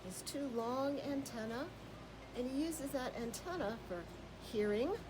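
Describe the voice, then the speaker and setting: A woman reading a children's story aloud as a naturalist, with simple expressive phrasing and asides that put questions to young listeners. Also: high voice, measured pace, background noise. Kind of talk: children's story time read-aloud with show-and-tell asides.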